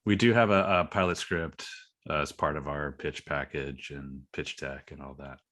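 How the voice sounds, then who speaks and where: A man's voice: extremely low pitch, steady tone, normal speed, a bit bright. A man talking casually as a podcast guest, an indie creator with an earnest, self-deprecating way of explaining his work. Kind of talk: casual interview chat.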